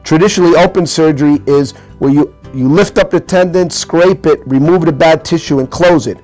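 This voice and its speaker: A middle-aged man, an orthopedic hand surgeon, calmly explaining a medical procedure to a patient in a clinic office, with fillers and small restarts. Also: on the high side, moderate pace, poor audio. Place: clinic office, seated at a desk. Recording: soft music underneath.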